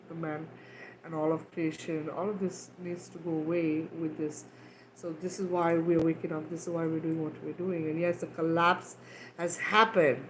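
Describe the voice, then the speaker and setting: Woman from Kenya, lowish voice, rambling livestream healer, faint hiss behind. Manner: loose, repetitive, frequent "right?" and fillers. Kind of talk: casual livestream talk, guided healing.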